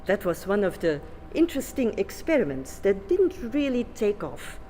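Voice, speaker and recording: A woman with a Flemish accent gives a conference talk, speaking haltingly with many fillers and restarts. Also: medium pitch, big pitch swings, mellow, soft background music. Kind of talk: conference presentation. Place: conference hall. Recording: long reverb in a conference hall.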